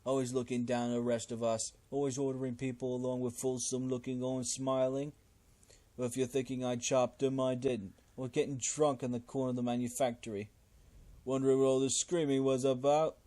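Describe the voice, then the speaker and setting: A man voicing a bitter, leering cannibal thug in a game, talking in a gruff, slangy, loud way. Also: mid-range voice, level tone, normal speed, natural voice. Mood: bitter, resentful.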